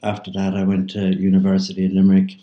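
A man's voice: low-pitched, completely flat delivery, quick pace, dark tone. A man in his sixties from Ireland with an Irish accent, reflecting unhurriedly as a guest in a casual radio interview, with many fillers. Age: 60s. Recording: roomy, dull lo-fi sound.

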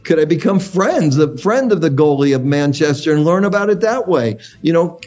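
A man's voice: a little high, lively intonation, moderate pace, a little mellow. A man, a guest giving enthusiastic, coaching advice on a radio show. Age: 60s. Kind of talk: radio interview. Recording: dull lo-fi radio sound with faint music.

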